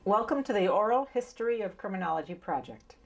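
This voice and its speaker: A woman's voice: medium pitch, expressive, quick pace, vocal fry. A middle-aged woman introducing an interview in a formal manner, reading from notes. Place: small indoor interview set.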